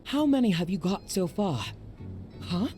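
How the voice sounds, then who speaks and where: Chatty, theatrical brother type for a game, very animated, faint music underneath. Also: male voice, high voice, unhurried. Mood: upbeat, teasing. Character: chatty, wry, cheerful salesman townsman, caring brother.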